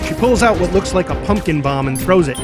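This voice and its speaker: A man's voice: sits high, expressive, fairly quick, loud music behind the voice. A man reading scripted action narration as the narrator.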